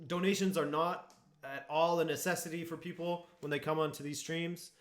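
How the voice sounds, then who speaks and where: A man hosts a live football watchalong from home, talking straight to his viewers in an easy, chatty way. His voice sits a little high, and he reacts to match moments as he goes. The sound carries a noticeable room echo. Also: fairly even intonation, normal speed. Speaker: streamer hosting a football watchalong. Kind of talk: casual live-stream chat and match reactions. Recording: echoey room.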